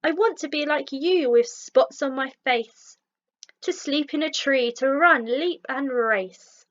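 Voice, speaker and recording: A plaintive, high-pitched young boy rhino from a children's storybook , acted out by a woman reader. He is hopeful and earnest, longing to be special, and the sound is a little dull and lo-fi. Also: takes it slowly, a bit bright. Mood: eager.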